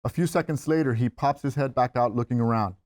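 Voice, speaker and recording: A man's voice: medium pitch, fast, a little mellow. A man reading scripted stage directions as narrator at a table read.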